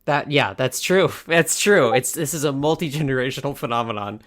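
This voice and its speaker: A woman's voice: rumbling low, expressive, brisk. A woman hosting a podcast in casual chat, laughing often and restarting her sentences. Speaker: podcast host.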